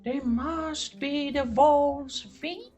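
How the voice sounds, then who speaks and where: A woman voicing three tiny, wary goat kids in a storybook reading, with squeaky, shrill exclaiming. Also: fairly even intonation, very slow, darkish tone, faint music behind. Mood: defiant.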